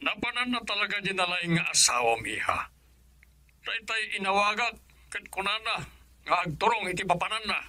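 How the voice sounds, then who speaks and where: A man's voice: high-pitched, lively intonation, moderate pace, pushed and bright, dry recording, heavily acted. A man with an Ilocano accent playing a stern, wrathful older father in a radio drama. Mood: cold, suspicious. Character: stern, wrathful father resentful of his son-in-law.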